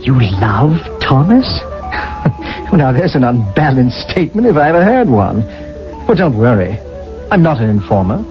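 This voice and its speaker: An actor playing a smug, condescending rationalist from a regimented future in a radio drama, speaking in a glib, theatrical manner. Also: male voice, medium pitch, sing-song, unhurried. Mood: incredulous, scornful. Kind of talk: 1980 radio drama acting. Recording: dull vintage radio, faint music.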